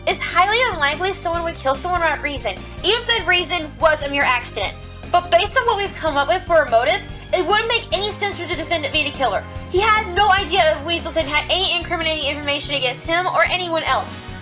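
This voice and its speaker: A woman's voice: very high voice, over music. A woman acting a determined young rabbit police officer, arguing assertively in a courtroom audio drama. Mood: insistent. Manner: assertive, deliberate, argumentative.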